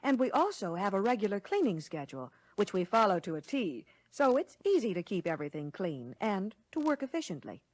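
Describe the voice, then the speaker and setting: A woman playing a courteous American lunch counter waitress, explaining service clearly in a scripted 1960 training film. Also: mid-range voice, very animated, a little fast, few pauses, no room sound. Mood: proud, helpful. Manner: courteous, instructive, clearly worded.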